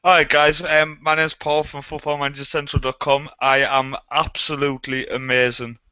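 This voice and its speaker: A man hosting a casual podcast chat, jokey and chatty and quick to laugh, heard over a dull lo-fi online call. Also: a little high, level tone, pushed and bright, clean tone, no echo. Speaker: podcast host.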